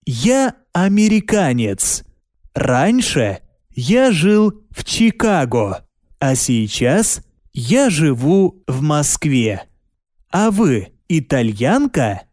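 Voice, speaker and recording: Man, language teacher, very slow, animated pitch, deliberate diction. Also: no echo, studio quality. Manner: carefully spaced, deliberate pronunciation. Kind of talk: slow, clearly enunciated lesson reading.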